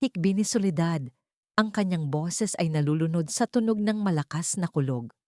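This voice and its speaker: Low-pitched woman narrating a dramatic story. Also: lively intonation, moderate pace, darkish tone, no echo, studio quality.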